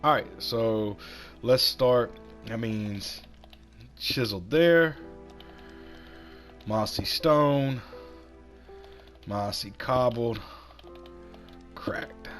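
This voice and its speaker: A man with a cold, hoarse and a little raspy, chatting casually while he builds in a video game and talks himself through each step. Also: mid-range voice, very slow, pauses often, fairly bright tone, soft background music. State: hoarse from sinus infection. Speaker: gaming channel host.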